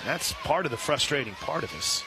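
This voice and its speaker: An American man calling live softball play-by-play on the radio from an outdoor ballpark. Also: a little high, animated, fairly quick, background noise. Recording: loud outdoor ballpark ambience.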